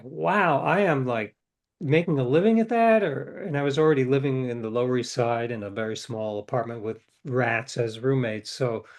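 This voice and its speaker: An older man, a novelist, telling stories in a casual interview, rambling and correcting himself, acting out quoted dialogue. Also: mid-range voice. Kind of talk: casual storytelling.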